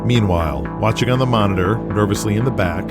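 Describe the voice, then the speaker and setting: A man's voice: lowish voice, quick pace. A man narrating a scripted audio drama in a dramatic storytelling style. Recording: loud music behind.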